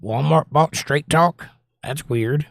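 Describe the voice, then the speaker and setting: Slightly hoarse, chatty middle-aged man. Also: a little high, slow, dry recording, clean studio sound. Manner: chatty, joking, teasing. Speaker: livestream host. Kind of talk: casual chat reading viewer comments aloud.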